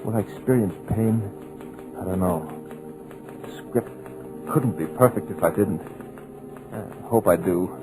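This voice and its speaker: A man's voice: low-pitched, unhurried, pauses often, poor audio. A man playing a hypnotized male writer in an old radio drama, narrating his own helpless terror in urgent bursts. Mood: desperate. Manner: breathless, urgent inner narration. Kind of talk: 1940s radio drama acting. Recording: old radio recording with music behind.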